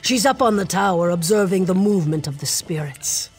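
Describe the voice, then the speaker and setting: Guild mage for a fantasy game: measured, earnest, strongly husky, music underneath. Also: mid-range voice, expressive. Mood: measured, instructive. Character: earnest Mages Guild scholar briefing an adventurer. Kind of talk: fantasy video game dialogue.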